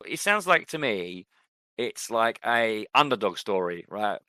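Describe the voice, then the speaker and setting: A man's voice: lowish voice, sing-song, unhurried, pushed and bright, no room sound. A man co-hosting a podcast, chatting casually about films and TV. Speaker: podcast co-host.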